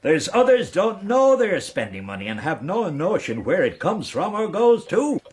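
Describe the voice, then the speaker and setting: An actor playing a roguish, fawning Irish knight and debt-dodging fixer in a radio period drama, speaking with an Irish accent and theatrical flourishes. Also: male voice, sits high, big pitch swings, moderate pace. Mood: jaunty, cynical. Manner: theatrical, ingratiating, rhetorical flourishes.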